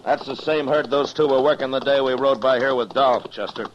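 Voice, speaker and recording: An actor playing a stern, steady frontier marshal in 1950s radio style, with a terse, authoritative manner. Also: male voice, medium pitch, a little fast, close to an everyday voice. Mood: firm, grim. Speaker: actor in a western radio drama. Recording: dull, noisy 1950s radio recording.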